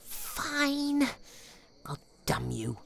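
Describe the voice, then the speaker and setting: A woman voicing a scrappy, excitable, reluctant teenage boy student in a fantasy audio drama. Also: sits high, very slow, gravelly, heavily acted. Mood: grumbling.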